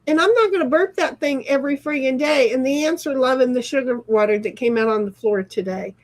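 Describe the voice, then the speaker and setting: Middle-aged woman host, slightly high voice, loose rambling chat with casual cursing. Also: brisk, a little mellow. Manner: rambling, chatty, swears casually. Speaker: livestream host. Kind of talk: casual livestream chat, reading chat aloud.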